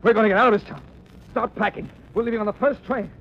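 A man's voice: sits high, fast talker. An actor playing a nerve-wracked man cracking under strain, agitated and melodramatic in a 1930s radio drama. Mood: frantic, desperate. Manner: agitated, melodramatic, rising toward hysteria. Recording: dull, hissy 1930s radio recording.

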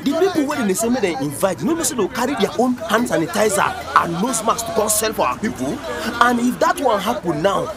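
Sly, high-voiced Nigerian trader. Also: male voice, sing-song, quick pace. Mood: worried scheming. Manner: conspiratorial, persuasive. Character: sly scheming male alcohol trader. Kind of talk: Nigerian Pidgin radio drama. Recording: loud crowd and music behind.

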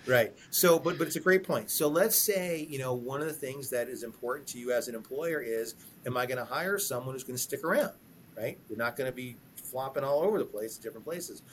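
Mid-pitched man explaining employment law. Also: brisk, slight background noise. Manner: repeats words, tags points with "right?". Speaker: guest, employment lawyer. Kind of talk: explanatory podcast conversation.

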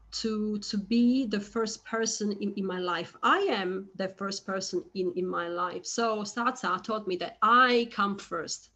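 A woman guest on a podcast, speaking slowly and hesitantly, with frequent restarts and repeated words. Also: medium pitch, bright, forceful tone, dull audio. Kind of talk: conversational interview.

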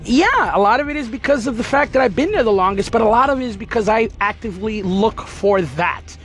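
A man hosting a podcast, talking casually and emphatically in an energetic, motivational way. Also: high voice, brisk. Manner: emphatic, energetic, pep-talk delivery. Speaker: podcast host.

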